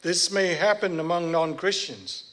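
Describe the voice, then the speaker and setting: Man, sermon interpreter, fairly high pitch, searches for words, self-correcting. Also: a little slow, a bit bright. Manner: searches for words and corrects himself mid-phrase. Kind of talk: consecutive church interpreting.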